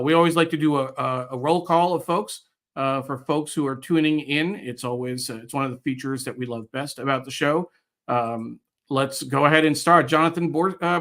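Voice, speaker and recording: A middle-aged American man guest-hosting a live online show, speaking in a halting way with pauses mid-phrase. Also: on the high side, fairly bright tone. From United States, New York area. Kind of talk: live show introduction, reading viewer comments.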